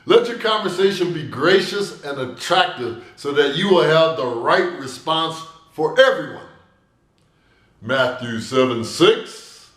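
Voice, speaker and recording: An older man giving a personal sermon to camera, telling stories with big gestures and a booming voice, recorded in a small room indoors. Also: reverberant. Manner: animated storytelling with big gestures.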